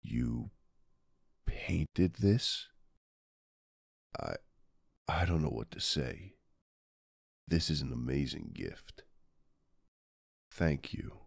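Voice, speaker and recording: A man in an ASMR roleplay playing a doting mafia boss husband and gentle father, speaking tenderly and soothingly with soft pauses. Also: extremely low pitch, big pitch swings, vocal fry, no room sound, studio quality, exaggerated voice. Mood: touched, loving. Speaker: roleplay audio performer.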